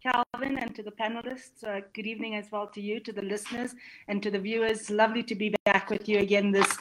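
An earnest woman, a medical doctor, introducing herself on an online panel in a measured way. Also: mid-range voice, little pitch movement, crowd noise, poor audio. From South Africa.

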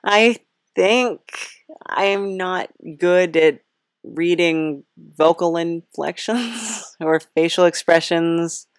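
A woman's voice: fairly low pitch, drawn-out words, no room sound, studio quality. A woman hosting a podcast in a casual, chatty, informal way, rambling a little. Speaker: podcast host and creator.

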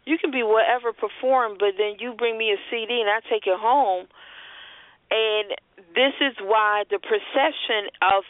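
A woman's voice: medium pitch, no echo. A woman giving firm, explanatory advice in conversation on a call-in show. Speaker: co-host of a spoken word business show. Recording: thin telephone-line sound.

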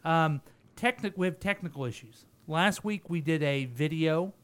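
A man's voice: a little high, normal speed, a bit bright, clear, resonant tone. A man hosting a small radio talk show in a studio, rambling and joking with his co-hosts and laughing often. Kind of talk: casual studio banter.

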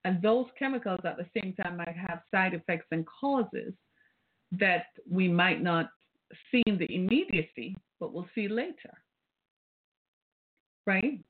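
A woman's voice: lowish voice, animated, unhurried, slightly rough. A woman from the British Caribbean with a Caribbean accent, hosting a solo podcast in a preachy, rhetorical way and often tagging her points with "right?". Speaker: podcast host. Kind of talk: casual solo podcast commentary. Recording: phone-like, narrow band.

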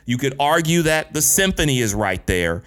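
A man's voice: medium pitch, big pitch swings, moderate pace, fairly bright tone. A man in his thirties from Detroit, hosting a solo podcast in a casual, candid way, with slang and cursing. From Detroit, USA. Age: 30s. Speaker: podcast host.

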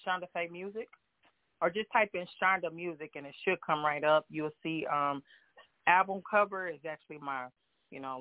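A woman from Oklahoma calls in as a guest singer, talking softly and haltingly with plenty of fillers. Her voice comes through a thin, phone-like line with a dry, close sound. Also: sits low, no room sound. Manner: soft-spoken, halting, many fillers. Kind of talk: phone-in interview.

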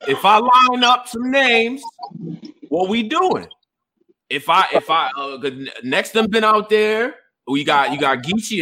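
A man hosting a casual livestream chat, animated and quick to interject and prompt his guests. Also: high-pitched. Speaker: livestream host.

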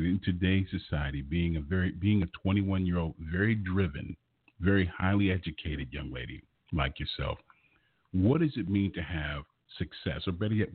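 Deep husky male radio interviewer. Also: no room sound, narrow, tinny audio. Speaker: radio show host interviewing a singer.

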